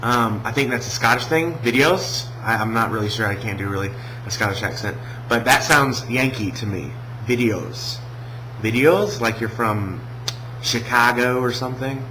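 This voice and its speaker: Give me a hesitant Southern American man with a bright voice, faint hum in the background. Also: mid-range voice, moderate pace, low-quality recording. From Alabama, USA. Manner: hesitant, many ums and restarts. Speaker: vlogger. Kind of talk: casual video response.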